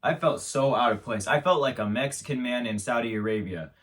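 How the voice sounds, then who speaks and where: A man's voice: medium pitch, brisk, a bit bright, distant mic. A man hosting his own show, telling an absurd comic story in a rambling, mock-serious way, stumbling over restarts. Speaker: show host. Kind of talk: absurd comedic monologue.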